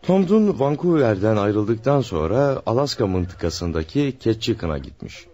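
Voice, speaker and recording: Low-voiced dutiful police subordinate, dull recording. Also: male voice, big pitch swings, unhurried, mellow. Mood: earnest, reporting. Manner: respectful, orderly reporting. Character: dutiful male police subordinate reporting on his inquiry. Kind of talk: radio theatre acting. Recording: dull, lo-fi radio drama recording.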